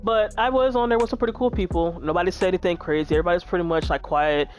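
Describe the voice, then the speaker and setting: A woman in her twenties with a deep voice, chatting candidly to her viewers while she plays a video game. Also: rapid-fire, a little mellow, faint music behind. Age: 20s. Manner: frank, chatty, talks straight to viewers. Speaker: gaming YouTuber.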